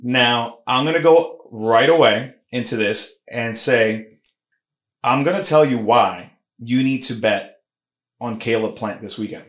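Male podcast host, emphatic and animated, middle pitch, roomy echo. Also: measured pace. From Puerto Rican heritage. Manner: animated, emphatic, lots of restarts. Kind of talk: casual combat sports podcast chat. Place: Philadelphia podcast studio room.

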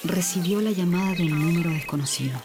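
A woman narrating a scripted radio story in a measured, suspenseful way. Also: fairly low pitch, background noise. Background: prominent music and sound effects.

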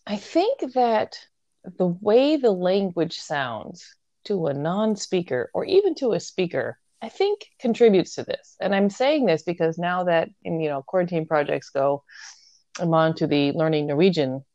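A young woman tells family stories in a relaxed, animated way, packed with fillers and quick laughs. Her voice sits a little low. The sound is dry and close, with no room echo. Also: dull audio. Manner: animated storytelling, many fillers, laughs often. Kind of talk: casual chat between sisters.

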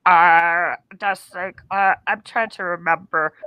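A woman voicing a chatty, sheepish seven-year-old boy puppet in an improvised interview, halting and rambling as words are searched for. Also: on the low side, fairly even intonation, smooth, no echo, highs cut off. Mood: sheepish, earnest.

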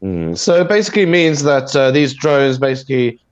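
A man with a head cold gives a plain, measured news explainer over a video call. His voice is nasal and a bit husky, and he fills pauses with "uh". The audio is dull, dry and muffled. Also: mid-range voice, no room sound. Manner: steady explanatory delivery with many fillers. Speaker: news commentator. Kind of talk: radio news discussion.

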